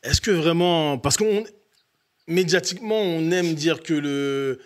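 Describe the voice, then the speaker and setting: A man's voice: a little high, slow pace. A male host moderating a football fan panel, courteous and slightly hesitant. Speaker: show host.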